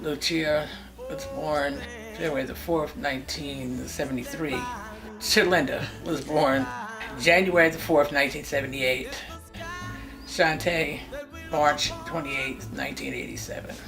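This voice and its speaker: A woman's voice: sits low, expressive, measured pace, poor audio. A woman in her sixties from California, looking back on her family life and listing dates and names one after another. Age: 60s. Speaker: interviewee recalling family life. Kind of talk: personal reminiscence. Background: music.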